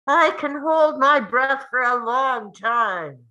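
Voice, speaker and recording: A woman acts a tough, exasperated aunt in a scripted drama reading. Her voice is high and forceful, with big swings of pitch and slow, emphatic words. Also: animated, lo-fi sound, heavily acted. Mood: exasperated, defiant. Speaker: actor at a table read.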